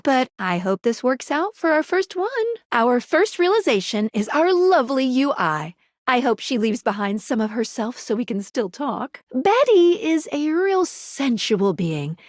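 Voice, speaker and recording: A perky young female guide from a dating video game. Her voice sits high and swings freely up and down, playful and theatrical. The recording is dry and close, with very little room sound. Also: dry recording. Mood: thoughtful, warm. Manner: bubbly, playful, theatrical delivery. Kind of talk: animated video game voice acting.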